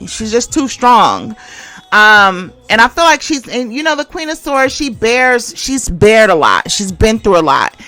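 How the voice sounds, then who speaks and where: A woman's voice: mid-range voice, pushed and bright. A woman giving a casual tarot reading and commentary in a conversational, emphatic way, repeating phrases to drive points home. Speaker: tarot reader and channel host.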